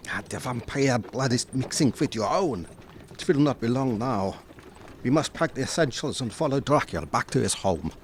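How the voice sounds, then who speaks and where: A man's voice: medium pitch, lively intonation, lightly acted. A man playing a grave, commanding older professor who hunts vampires, speaking formally in an audio drama. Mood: grave, urgent. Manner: formal, instructing, deliberate phrasing. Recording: audible background noise.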